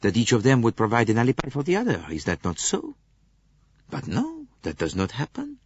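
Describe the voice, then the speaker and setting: A man voicing a shrewd, genial Belgian detective in an audiobook, with a French-speaking Belgian accent and a deliberate, emphatic delivery. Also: mid-range voice, wildly swooping pitch, husky, no echo, voice pushed up. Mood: reasoning. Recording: muffled lo-fi audiobook recording.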